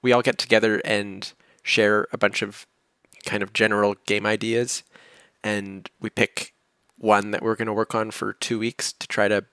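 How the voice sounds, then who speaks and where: Low gravelly man, relaxed explainer. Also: moderate pace, hardly any pauses, no room sound. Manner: relaxed, explains at length, chuckles between points. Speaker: game developer answering questions. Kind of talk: casual livestream Q&A chat.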